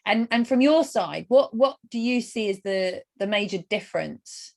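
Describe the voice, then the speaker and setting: Encouraging woman interviewer, medium-pitched voice. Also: expressive, normal speed, gravelly, darkish tone. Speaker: webinar host, interviewer. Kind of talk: casual interview chat.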